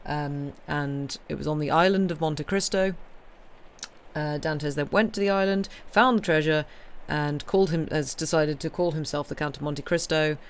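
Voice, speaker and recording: A woman's voice: sits low, slight background noise. A woman chatting casually to live stream viewers as host, informal and hesitant as she thinks aloud.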